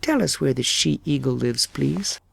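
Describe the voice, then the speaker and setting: A man's voice: mid-range voice, lively intonation, unhurried, gravelly, fairly bright tone, husky, no room sound, studio quality, voice pushed up, full character voice. A man voicing an eager, polite little girl in a storybook. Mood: polite, pleading. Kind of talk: storybook character voice.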